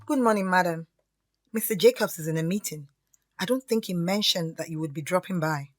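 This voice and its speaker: A woman's voice: lowish voice, fairly quick, no echo, clean studio sound, close to an everyday voice. A woman acting in an audio drama as an officious secretary, formally polite but curt. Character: frosty, officious female secretary.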